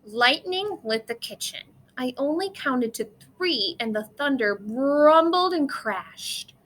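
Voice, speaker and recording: A woman's voice: sits high, expressive, slow pace, fairly bright tone, poor audio. A woman reading a children's storybook aloud, expressively acting out the dialogue and sound words.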